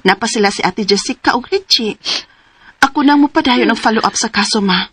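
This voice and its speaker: An earnest, loyal daughter. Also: female voice, fairly high pitch, fairly quick, a bit bright, breathy edge, dry recording. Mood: reassuring. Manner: earnest, pleading, comforting. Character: worried adult daughter, loyal and determined. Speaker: radio drama actor. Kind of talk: radio drama acting.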